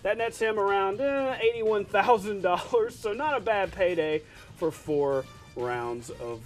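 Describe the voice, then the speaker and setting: A man co-anchoring a TV sports show, delivering highlights commentary with a light joking touch. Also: lively intonation, unhurried, soft background music.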